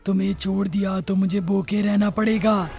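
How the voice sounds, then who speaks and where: A woman voicing a gleeful, bloodthirsty, liquor-loving witch in a cartoon story, with theatrical, drawn-out menace. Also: medium pitch, steady tone, vocal fry, warm tone, faint music behind, close to an everyday voice. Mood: menacing. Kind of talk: animated moral story dialogue.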